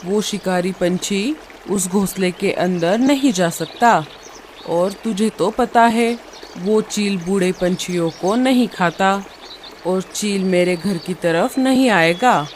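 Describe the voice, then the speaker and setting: A woman's voice: mid-range voice, slow pace, a little hiss or hum, lightly acted. A woman voicing a shrewd, motherly old female bird in a cartoon, reassuring and full of instructions. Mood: reassuring, advising. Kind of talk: cartoon dialogue. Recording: music under the voice.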